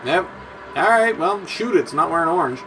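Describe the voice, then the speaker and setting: A man playing a video game with friends, chatty and prone to excited exclamations. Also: on the high side, brisk, poor audio. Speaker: let's play player. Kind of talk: casual gaming banter.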